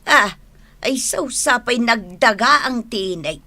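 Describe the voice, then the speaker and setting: A woman's voice: a little high, lively intonation, slow pace, bright, forceful tone, exaggerated voice. A woman with an Ilocano accent playing a sympathetic, lamenting older mother in a radio drama, in a plaintive, theatrical way.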